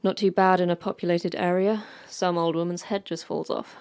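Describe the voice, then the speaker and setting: A woman's voice: fairly low pitch, level tone, moderate pace, a little mellow, clean tone, no room sound, studio quality, close to an everyday voice. A woman playing the terse, stern manager of a secret facility in a sci-fi horror audio drama, speaking in clipped, commanding instructions. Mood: grave, instructive.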